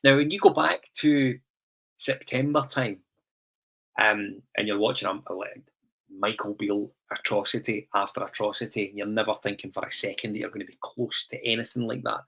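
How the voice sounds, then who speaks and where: A man's voice: lowish voice, normal speed, gravelly, breathy edge. Scottish man hosting a football podcast. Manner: relaxed, asks the panel questions. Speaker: podcast host. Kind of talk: casual football podcast chat.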